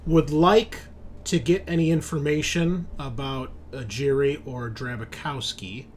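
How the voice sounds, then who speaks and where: A man's voice: medium pitch, steady tone, measured pace, slight background noise. A man running a tabletop roleplaying game as game master, explaining scenes and rules conversationally.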